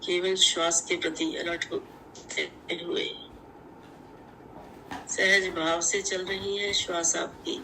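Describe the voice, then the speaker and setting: Woman slowly guiding meditation, bright clear-edged voice. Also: fairly low pitch, flat delivery, slight background noise, poor audio. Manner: measured, deliberate, repeating affirmations in short phrases. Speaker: meditation guide. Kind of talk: guided meditation, slow instructive narration.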